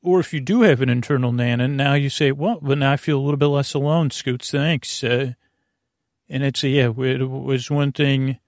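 A man hosting a bedtime podcast in a meandering, halting, self-deprecating monologue with whimsical asides. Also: mid-range voice, fairly even intonation, no echo, clean studio sound. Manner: whimsical, acting out little imaginary exchanges. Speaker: podcast host.

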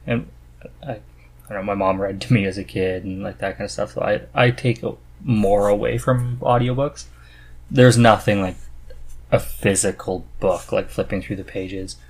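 Low, husky-voiced man chatting casually. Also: fairly even intonation, moderate pace, poor audio. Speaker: podcast host. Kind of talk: casual chat about books.

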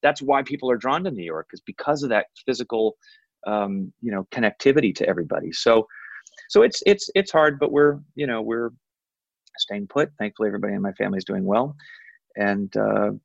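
A man with a big baritone voice chatting as a podcast guest, telling rambling, self-deprecating stories with many restarts. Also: animated, a little fast, creaky, no room sound, dull audio. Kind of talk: casual podcast chat.

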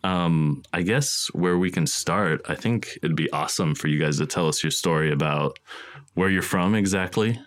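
A man's voice: low voice, normal speed, fairly bright tone. A man from Texas hosting a casual podcast interview, friendly and loose, often restarting his sentences. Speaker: podcast host.

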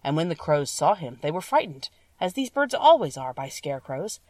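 Female storybook narrator, slightly low voice, very dry room, sitting a little back from the mic. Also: sing-song, normal speed, darkish tone, dry recording, recorded from a distance. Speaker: audiobook narrator. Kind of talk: scripted children's story narration.

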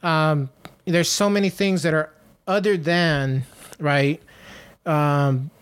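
A man's voice: a little high, fairly even intonation, slow, clean tone. A man in his late thirties co-hosting a casual podcast, rambling with lots of fillers and restarts. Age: 30s. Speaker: podcast co-host.

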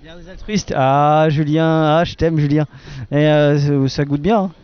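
A man's voice: on the high side, fairly even intonation, moderate pace, muffled recording. A man roaming an outdoor wine festival, playfully teasing people as he interviews them. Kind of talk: casual street interviews.

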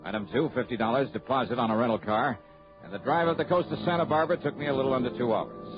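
Male actor as wry American male investigator, brisk, clipped, vintage radio sound with music behind. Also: mid-range voice, muffled recording, close to an everyday voice. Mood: dry, narrating. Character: confident, wry male freelance insurance investigator. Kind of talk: mid-century American radio drama acting.

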